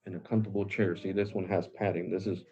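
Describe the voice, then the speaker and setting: A man's voice: deep voice, fairly even intonation, quick pace, dark tone, lo-fi sound. A man in his forties hosting a live stream, chatting casually and rambling, saying "bro" and "guys" often. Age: 40s. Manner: switches to Spanish with family.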